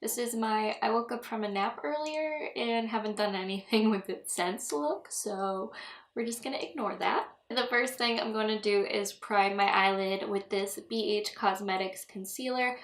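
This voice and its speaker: A young woman chats through a makeup tutorial at home, giggling and drifting into asides. Her voice sits at an ordinary pitch for a woman and sounds a little stuffy from a cold. The room gives it a noticeable echo. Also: moderate pace. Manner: chatty, giggly, rambling asides. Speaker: beauty vlogger. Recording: echoey room.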